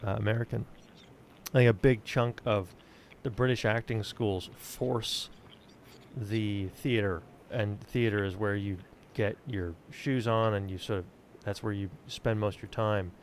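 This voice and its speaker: Lowish-voiced man hosting casually. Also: steady tone, moderate pace, slight background noise. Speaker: podcast host. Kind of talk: casual interview chat.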